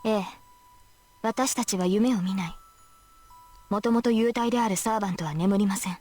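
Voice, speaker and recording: Woman acting a solemn, quick-spoken young knight spirit. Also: medium pitch, darkish tone, lightly acted. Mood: calm, explanatory. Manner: formal, composed. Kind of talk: Japanese visual novel voice acting. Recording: game music behind the voice.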